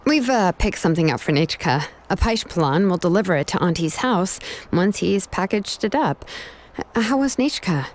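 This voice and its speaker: A woman voicing a discreet, steady young woman in a video game, casual and slightly halting. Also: on the low side, slight background noise, close to an everyday voice. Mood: covering up.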